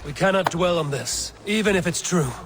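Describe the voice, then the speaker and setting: A calm, strategic Viking leader. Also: male voice, high voice, normal speed, background noise. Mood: measured. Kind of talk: video game voice acting. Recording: game audio with music behind.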